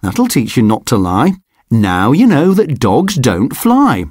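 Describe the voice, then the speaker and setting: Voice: mid-range voice, wildly swooping pitch, slow pace, a little mellow, slightly rough, no room sound, studio quality, full character voice. A reader voicing a big bully dog who taunts a classmate. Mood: taunting. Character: mocking bulky bully dog.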